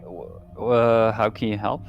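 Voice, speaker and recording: A man playing a tabletop roleplaying game, explaining rules to the others in casual, halting talk. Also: fairly low pitch, fairly even intonation, dull audio, soft background music.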